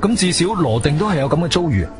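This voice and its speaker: A man's voice: fairly high pitch, sing-song, quick pace. An actor with a Guangzhou Cantonese accent playing an inquisitive, self-assured investigator who tells his own story in a theatrical radio drama style. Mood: incredulous. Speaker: narrator and lead actor. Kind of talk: Cantonese radio drama, first-person narration and dialogue. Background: music.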